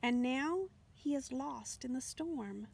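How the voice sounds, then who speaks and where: A woman's voice: high-pitched, animated, slow, gravelly, darkish tone, breathy edge, full character voice. A woman voicing an eager, innocent young female lamb in a children's storybook, with earnest, pleading speech. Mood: sad.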